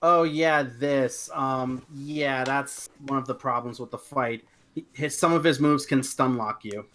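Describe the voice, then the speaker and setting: A man co-hosting a game stream, calmly commenting and explaining the game's mechanics. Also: mid-range voice, unhurried. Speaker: co-host watching the gameplay. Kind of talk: casual gaming commentary. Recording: game music loud behind voice. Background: game music.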